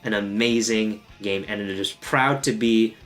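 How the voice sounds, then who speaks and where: A man's voice: on the low side, fairly even intonation, unhurried, a bit bright, faint music behind. A man hosting a gaming video, talking in an informal, self-deprecating, joking way like a casual video essay. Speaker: host of a gaming video channel.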